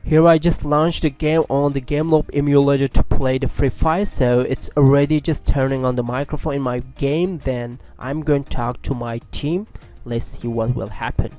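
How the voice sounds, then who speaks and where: A man hosting a tech tutorial, narrating software steps into a computer microphone. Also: mid-range voice, steady tone, moderate pace, soft background music. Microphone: USB microphone at a computer.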